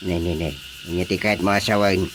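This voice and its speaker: Gruff male village chairman played by an actor. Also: deep voice, little pitch movement, quick pace, background noise, lightly acted. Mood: questioning, businesslike. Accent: Ilocano. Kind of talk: Ilocano radio drama comedy acting.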